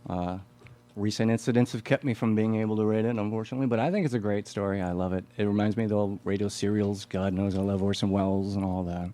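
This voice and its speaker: A man talks casually as an actor after a performance. His voice is on the low side, with a dark, mellow tone. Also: fairly even intonation, quick pace. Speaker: actor in a live radio play. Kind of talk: casual live chat.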